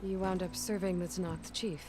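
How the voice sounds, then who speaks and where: A woman's voice: on the low side, steady tone, normal speed, close to an everyday voice. A woman playing a determined young female adventurer in a video game, speaking in a direct, dry, guarded way. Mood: probing. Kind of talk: scripted video game cutscene dialogue. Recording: music behind the voice.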